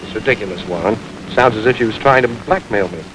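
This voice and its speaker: An actor playing a smug, suave gang boss. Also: male voice, fairly low pitch, fast, fairly bright tone, slight background noise, close to an everyday voice, low-quality recording. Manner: smooth, mocking, unruffled. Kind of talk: 1940s film acting.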